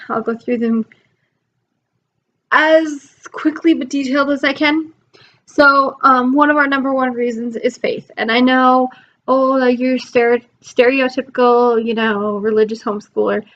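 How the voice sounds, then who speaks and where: Rambling mom vlogger, slightly hoarse. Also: female voice, high voice, fairly even intonation, a little slow, fairly bright tone, muffled recording. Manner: chatty, lots of ums, rambling. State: recovering from illness, losing her voice. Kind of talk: casual talk to camera. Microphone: laptop mic.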